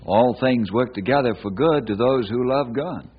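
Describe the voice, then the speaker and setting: An actor playing a brave, devout, wry ranger hero in an old time radio western. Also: male voice, medium pitch, moderate pace, breathy edge, natural voice. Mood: earnest. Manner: wry, reassuring, homespun. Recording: muffled, noisy old radio recording.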